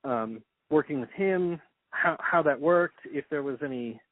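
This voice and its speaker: A man interviewing conversationally, with a tentative, halting and deferential manner. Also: on the high side, dry recording, narrow, tinny audio.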